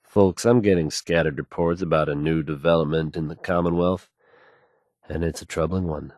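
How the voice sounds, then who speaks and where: A man's voice: a bass voice, fairly even intonation, normal speed, a little mellow, dry recording, clean studio sound. A man playing a self-assured radio newscaster in a video game, fluent and folksy as he announces the news. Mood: newsy, confident. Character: confident, smooth, assured male radio newscaster.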